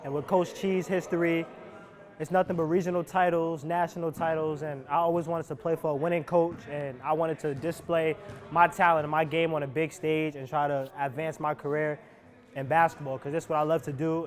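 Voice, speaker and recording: A man's voice: fairly high pitch, level tone, fairly quick. A young man from New Jersey casually introducing himself as a college basketball player. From New Jersey, USA. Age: young adult. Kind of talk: casual self-introduction to camera.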